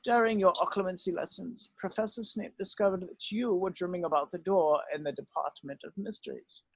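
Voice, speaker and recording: Man playing a grave old wizard headmaster, high-pitched. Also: gravelly, breathy edge, no echo, heavily acted, poor audio. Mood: solemn, explaining. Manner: measured, solemn, explanatory. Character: grave, weary old male wizard headmaster, gently remorseful. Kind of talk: dramatic script read-through.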